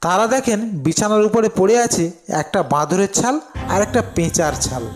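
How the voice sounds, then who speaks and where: A man's voice: sits high, expressive, moderate pace, faint music behind. A man narrating a scripted folktale as a storyteller.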